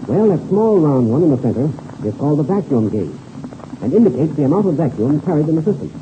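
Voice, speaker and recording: An actor playing a confident, hearty veteran pilot mentor in a 1930s radio serial, brisk, instructive and self-assured. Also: male voice, on the high side, big pitch swings. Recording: dull, very noisy old radio recording.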